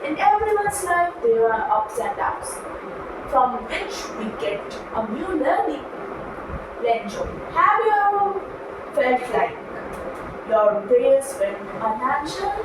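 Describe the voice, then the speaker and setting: High-voiced woman interviewer, very echoey. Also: very animated, takes it slowly. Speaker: interviewer in a puppet show. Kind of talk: scripted puppet show interview. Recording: very echoey room, distant mic, noisy.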